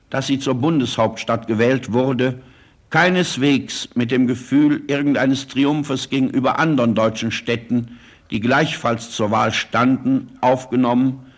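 A man gives a formal public address in the style of 1940s radio. He is stately and measured in manner, with a medium-pitched voice. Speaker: politician giving an address.

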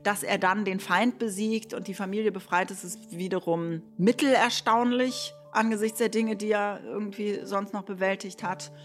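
A woman talks through a story in a conversational, explanatory way. Her voice sits at a middle pitch, and her manner is reflective and wry. Soft music runs faintly behind her. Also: steady tone, normal speed, hardly any pauses. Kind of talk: casual explanatory commentary on a play.

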